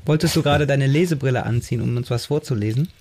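Casting: male podcast co-host, medium-pitched, raspy, dryly playful in casual chat. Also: fast talker, a little mellow.

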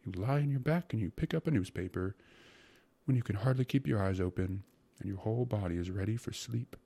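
A man voicing a fussy, gluttonous court secretary in a storybook reading, coaxing and savoring each word with a breathy, stammering delivery. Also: fairly low pitch, a little fast, mellow, no room sound. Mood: blissful. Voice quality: breathy, often dropping to a whisper. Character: fussy, gluttonous male court secretary, rapturous about food.

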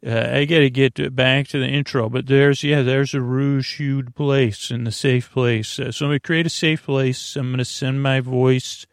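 A man with a creaky, gravelly voice hosting a bedtime podcast in a soothing, halting, rambling monologue that drifts into tangents. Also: mid-range voice, flat delivery, no echo, clean studio sound. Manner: meandering, halting, stop-and-start, trailing off into tangents; soothing, lulling. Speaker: podcast host.

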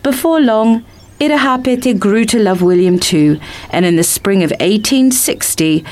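A woman's voice: mid-range voice, expressive, measured pace, darkish tone. A woman reading a picture book as scripted story narration.

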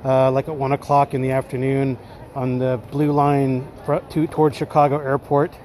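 A man's voice: medium pitch, little pitch movement, normal speed, darkish tone, slight background noise. A man leaving a casual, rambling voice message as a caller, speaking in stop-and-start phrases.

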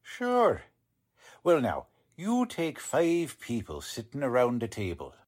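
A man's voice: medium pitch, wildly swooping pitch, slow, a little mellow, heavily acted. A man playing a stubborn, cocksure working-class police lieutenant in an old-time radio drama, talking in tough, ungrammatical slang. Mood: cocksure, blustering.

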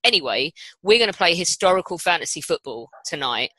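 A woman's voice: on the low side, sing-song, brisk, sharp tone, dry recording, low-quality recording. A woman with a British accent hosting a casual panel chat in an irreverent, sweary, teasing manner. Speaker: podcast host.

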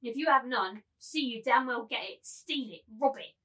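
A woman's voice: a little high, normal speed, sharp tone. A woman acting a mocking, bullying brothel madam in a dramatised novel reading, with a cutting, taunting delivery. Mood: cutting contempt. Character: scornful bullying brothel madam turned mannish tyrant.

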